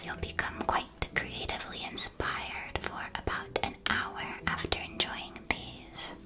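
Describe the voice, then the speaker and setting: A tiny magical sweet shop where a kindly shopkeeper played by a woman whispers gentle, unhurried ASMR sales patter to a young witch customer. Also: lightly acted. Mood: gentle, helpful. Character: kindly, chatty female candy shopkeeper in a witch world. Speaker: ASMR roleplay performer.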